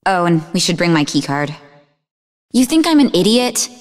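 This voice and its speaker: Snarky young woman from a video game, really expressive pitch, big echoey reverb on it. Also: moderate pace. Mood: casual, insistent. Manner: snarky, conversational, emotionally expressive. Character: sarcastic, defensive young woman, idealistic martial-arts student and researcher. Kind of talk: video game character dialogue.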